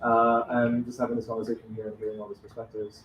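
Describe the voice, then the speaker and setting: A man's voice: mid-range voice, monotone, fast talker, highs cut off. A man speaks up from the audience at a live café discussion, firm and direct, driving his points with short rhetorical questions. The room is echoey with faint noise behind him. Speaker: audience member.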